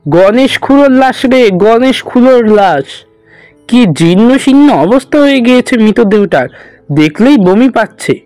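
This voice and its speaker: A man voicing an excitable, pushy village man who spreads grim news in a horror cartoon. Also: extremely high pitch, a little slow, dry recording, soft background music. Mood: excited.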